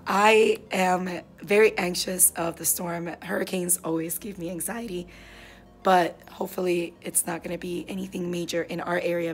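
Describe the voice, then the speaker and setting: A hoarse woman in her forties talks to her phone at arm's length from a classroom. Her voice is strained and rough, and her delivery is chatty and easygoing, a teacher catching viewers up on her day. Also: on the low side. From South Florida. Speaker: fourth grade teacher. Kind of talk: casual vlog talk to camera. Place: classroom and car, indoors. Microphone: phone held at arm's length.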